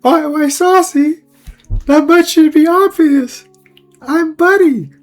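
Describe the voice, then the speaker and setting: Woman as a high-voiced spirit animal mascot. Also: takes it slowly, a little mellow. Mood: patient. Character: bubbly, ethereal spirit animal mascot, watchful and gently scolding. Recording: music under the voice.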